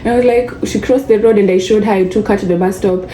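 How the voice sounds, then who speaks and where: A woman's voice: medium pitch, steady tone, quick pace, dark tone. A young woman from Kenya with a Kenyan accent, telling stories in a chatty vlog and slipping into Swahili slang. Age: young adult. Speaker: vlogger. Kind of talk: casual storytelling vlog. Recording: echoey room with faint noise.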